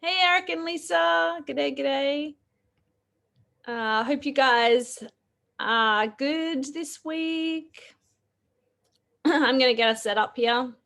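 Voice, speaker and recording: Croaky aussie lady hosting a live chat, kinda high voice, laughs a lot, um-ing along on a call mic. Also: drawn-out words, long pauses, a bit bright. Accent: Australian. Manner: chatty, laughs often, fillers. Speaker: podcast host. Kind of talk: casual live-stream chat.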